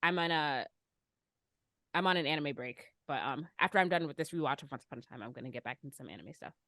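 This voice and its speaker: Woman, podcast co-host, very animated pitch, bright tone, giggly casual chat. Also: fast talker, no echo.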